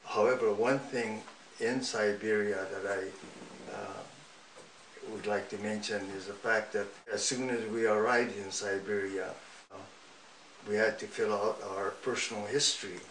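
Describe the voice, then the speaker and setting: An older American-born man recounting his memories in an interview at home, speaking in a measured, halting way with many pauses. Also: fairly low pitch, fairly even intonation, fairly bright tone. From United States. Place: living room at home. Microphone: far camera. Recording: roomy, distant home recording with faint noise.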